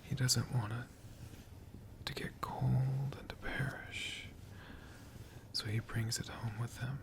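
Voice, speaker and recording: Asmr storyteller whispering real slow, bit of gravel in the voice, lingers on words. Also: mid-range voice, little pitch movement. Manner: slow, hushed, lingering with pauses and repeated words. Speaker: ASMR storyteller, channel host.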